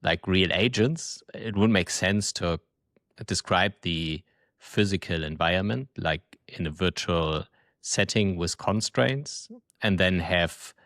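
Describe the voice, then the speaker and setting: A man's voice: deep voice, vocal fry, a bit bright, no echo, studio quality. A man hosting an interview podcast, asking long questions and thinking aloud as he goes. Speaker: podcast host.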